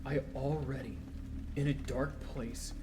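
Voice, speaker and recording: A man playing a wounded, bitter son confronting his mother in an audio drama, accusing and emphatic in a halting way. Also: mid-range voice, fairly even intonation, close to an everyday voice. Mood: hurt, brooding. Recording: faint background noise.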